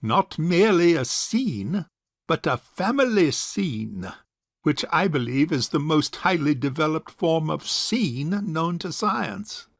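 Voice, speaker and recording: A man's voice: on the high side, animated, slow, creaky, no echo, studio quality, full character voice. An actor playing a suave, sarcastic bachelor uncle in his forties in an audio farce, speaking in a pompous, formal way. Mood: icily formal. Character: suave, sarcastic forty-two-year-old rich bachelor uncle. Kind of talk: stage farce audio drama acting.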